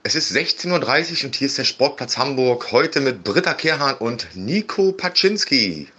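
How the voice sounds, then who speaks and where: A man's voice: mid-range voice, expressive, moderate pace, pushed and bright, husky. A man sending a playful, rambling voice message to a podcast. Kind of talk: recorded voice message. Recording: dull lo-fi voice message.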